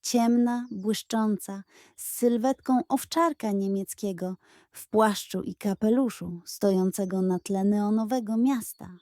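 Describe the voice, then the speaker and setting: A woman's voice: medium pitch, measured pace, mellow, dry recording, studio quality. A woman narrating a scripted children's bedtime story as a storyteller.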